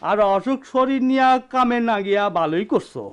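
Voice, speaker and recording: High-pitched friendly village matchmaker. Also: male voice, expressive, a little fast, fairly bright tone, clean tone. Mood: affable. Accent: rural Bangladeshi dialect. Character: friendly older village neighbour acting as matchmaker. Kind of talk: Bengali radio drama acting.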